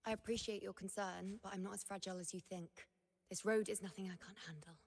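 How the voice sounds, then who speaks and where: An actress playing a proud, injured young woman in a video game, stubbornly self-reliant, with a breathy, husky voice. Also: medium pitch, steady tone, quick pace, in a large hall. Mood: brushing off concern. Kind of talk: dramatic video game voice acting.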